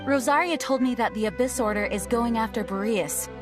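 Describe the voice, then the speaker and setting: A woman's voice: on the high side, a little fast, lightly acted. An actress playing a dutiful female knight commander in a video game, formal, composed and authoritative, with music behind her. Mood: composed concern. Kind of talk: video game dramatic acting.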